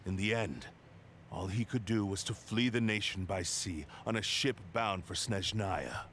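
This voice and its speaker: An actor playing a ruthless, arrogant possessed sword spirit in a game, delivering a cold, grandiose villain monologue. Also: male voice, lowish voice, measured pace, fairly bright tone, slight background noise. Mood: menacing. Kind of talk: game voice acting.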